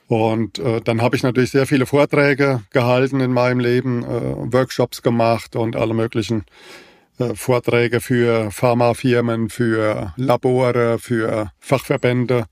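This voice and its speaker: A man in his fifties, a naturopath co-hosting a podcast, explaining in a measured, even way with frequent fillers. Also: medium pitch, dry recording, clean studio sound. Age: 50s. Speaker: naturopath and co-host. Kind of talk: casual podcast conversation.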